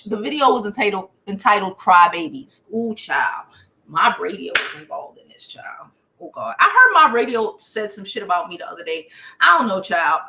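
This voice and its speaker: A woman's voice: on the high side, bright, forceful tone, echoey room. An American woman giving casual gossip commentary on a livestream, talking in a sassy, blunt, slangy way. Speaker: YouTube livestream commentator and host.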